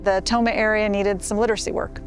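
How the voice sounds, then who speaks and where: A woman's voice: medium pitch, little pitch movement, fast talker, soft background music. A middle-aged woman sharing a personal recollection. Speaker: former literacy project worker.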